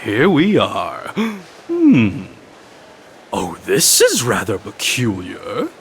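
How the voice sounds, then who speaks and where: An actor playing an eccentric, theatrical doctor in a video game, musing aloud in drawn-out words. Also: male voice, lowish voice, huge pitch swings, slight background noise, exaggerated voice. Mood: musing, puzzled. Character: eccentric theatrical male doctor, muttering over patient records.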